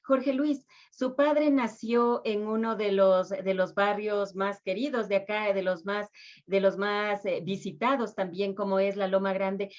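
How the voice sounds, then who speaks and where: A woman's voice: medium pitch, a little mellow. Young woman interviewer, dull recording. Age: young adult. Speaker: interviewer, host. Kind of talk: conversational interviewing. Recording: dull, lo-fi sound.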